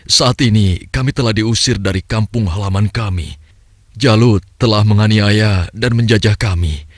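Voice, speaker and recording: A man voicing an indignant, entitled nobleman with a husky voice in a religious cartoon. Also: lowish voice, moderate pace, dry recording, studio quality, lightly acted. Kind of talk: cartoon religious story dialogue.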